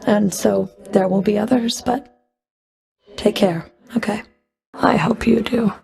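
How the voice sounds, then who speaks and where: A woman's voice: mid-range voice, steady tone, slow pace, creaky, darkish tone, faint music behind. A woman playing a stern, sardonic underworld fury in a video game, speaking in a cool, clipped, dry and commanding manner. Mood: soft, restrained. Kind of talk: video game character voice lines.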